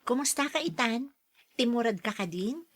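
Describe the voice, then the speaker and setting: Gentle, caring young college woman. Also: on the high side, lively intonation, moderate pace. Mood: encouraging. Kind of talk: radio drama acting.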